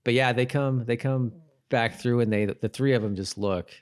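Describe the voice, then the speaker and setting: A man's voice: medium pitch, normal speed, clean tone. A middle-aged man co-hosting a podcast, chatting casually and often repeating and rephrasing himself as he gives his take. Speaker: podcast co-host. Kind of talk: casual chat reviewing a TV episode.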